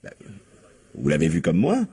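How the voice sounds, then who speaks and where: An actor playing a gruff, defensive middle-aged film producer in a French radio crime drama, with a rough, husky voice and a protesting manner. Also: male voice, low voice, huge pitch swings, moderate pace, dark tone, full character voice.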